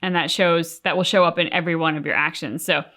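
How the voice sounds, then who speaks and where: A woman's voice: low-pitched, steady tone, fast talker, fairly bright tone. A young adult woman co-hosting a reaction show, talking things over in an earnest, thoughtful way. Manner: thoughtful, earnest, talks in long reflective runs. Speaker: reaction channel co-host. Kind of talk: casual reaction chat.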